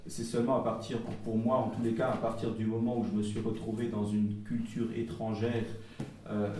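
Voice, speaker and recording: Man, mellow voice, halting public talk, reading notes aloud, heavy hall echo, distant mic. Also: on the low side, a little hiss or hum. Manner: halting, with frequent pauses and restarts. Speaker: speaker summarizing group feedback at a church gathering. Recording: very echoey hall, voice from far off the mic.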